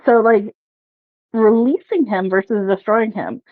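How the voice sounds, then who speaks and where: A woman's voice: mid-range voice, measured pace, phone-quality sound. A woman roleplaying in a tabletop game, speaking casually and in an earnest, tentative way. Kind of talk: casual in-character discussion.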